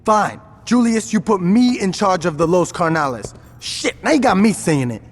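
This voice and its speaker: An actor playing a brash young gang lieutenant in a game cutscene, talking in casual street slang. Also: male voice, high voice, sing-song, normal speed. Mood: mildly annoyed. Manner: informal, slangy. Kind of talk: video game cutscene acting.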